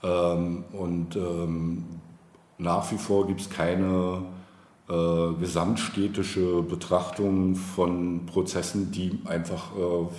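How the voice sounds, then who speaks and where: A man's voice: sits low, level tone, drawn-out words, a little mellow. A man being interviewed as a politician, speaking conversationally, hesitantly and wordily as he searches for words. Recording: roomy, echoing, with background noise.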